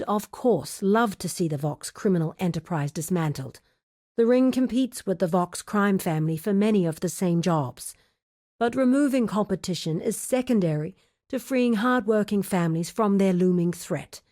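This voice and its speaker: A woman's voice: mid-range voice, dark tone, lightly acted. Woman playing a composed, shrewd underworld fixer. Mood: composed, knowing. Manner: measured, formal, articulate storytelling delivery. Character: composed, shrewd woman of a criminal guild, guarded and wry. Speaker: video game quest-giver character. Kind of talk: scripted video game dialogue.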